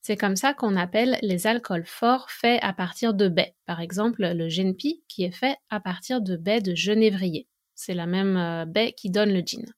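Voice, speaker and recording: A woman from France speaks as a teacher hosting an informal educational monologue. Her voice sits at an average pitch for a woman. She explains things methodically, moving through examples in an orderly way. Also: moderate pace, hardly any pauses. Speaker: French language and culture teacher, podcast host.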